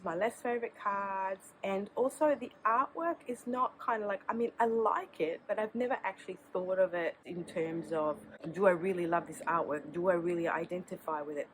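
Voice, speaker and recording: A woman's voice: medium pitch, moderate pace, a bit bright, a little hiss or hum, low-quality recording. A woman with a sore throat and a cold, chatting casually to camera outdoors as the host of her tarot channel, rambling and restarting sentences. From Australia.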